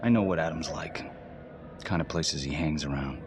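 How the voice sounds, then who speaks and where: An actor playing a sly, bitter convict in a crime thriller game, with a sardonic, brooding delivery. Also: male voice. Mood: sly, coaxing. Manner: sardonic, slow, half-whispered at times. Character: sly, bitter male convict on death row. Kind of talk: brooding dramatic game acting. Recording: clear background ambience and rumble.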